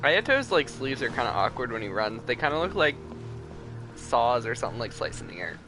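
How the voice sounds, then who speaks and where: A man's voice: medium pitch, very animated, fairly quick. A man giving casual, informal livestream commentary on a game, musing aloud as he plays. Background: game music.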